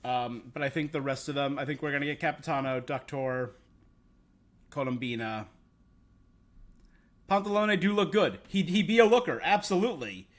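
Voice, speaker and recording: Higher-voiced man chatting on a game stream. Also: expressive, moderate pace, pauses often. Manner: rambling, opinionated, thinking aloud. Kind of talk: casual livestream gaming chat. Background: game music.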